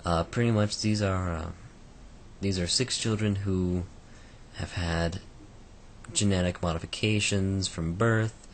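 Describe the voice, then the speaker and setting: A man with a croaky voice giving a casual, rambling book review, full of fillers and restarts. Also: deep voice, fairly even intonation, unhurried, slight background noise.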